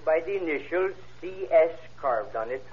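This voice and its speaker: An actor playing a polite, hesitant, ordinary witness giving plain answers in an old-time radio courtroom drama. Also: male voice, fairly high pitch, lively intonation, moderate pace, lightly acted. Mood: hesitant, earnest. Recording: muffled vintage radio recording.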